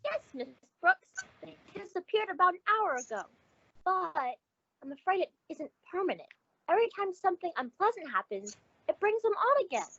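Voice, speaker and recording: A woman's voice: extremely high pitch, big pitch swings, moderate pace, pushed and bright, dry recording, heavily acted. A woman playing a polite, worried teenage schoolgirl in an old-time radio comedy. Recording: dull lo-fi radio drama audio.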